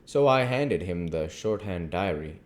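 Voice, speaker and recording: A man with a fairly low voice reads a novel aloud. He moves deliberately through the prose, breaking it into short, halting phrases. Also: lively intonation. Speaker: amateur audiobook reader.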